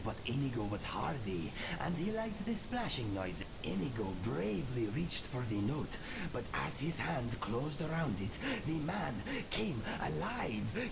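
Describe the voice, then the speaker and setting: A man's voice: fairly high pitch, creaky, noisy background, full character voice. A man voicing a proud, affable cat-man adventurer in a fantasy game, telling stories theatrically and formally with whispery suspense. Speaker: companion character in a fantasy game. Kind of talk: theatrical storytelling in the third person.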